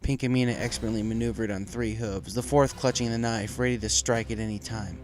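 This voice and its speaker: A man's voice: lowish voice, fairly even intonation, fairly quick, faint music behind. A man giving scripted narration of a dramatic story as its narrator.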